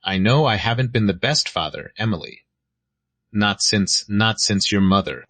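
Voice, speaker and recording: A man playing a weary police detective and regretful father in a screenplay read, with a husky voice. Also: lowish voice, lively intonation, normal speed, fairly bright tone, no room sound. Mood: remorseful.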